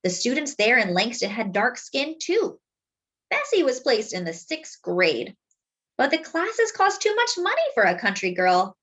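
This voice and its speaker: A woman with a fairly high voice reads a children's story aloud. Her intonation swings widely from phrase to phrase. She phrases deliberately, stretching key words for emphasis in an expressive storyteller's style. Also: breathy edge.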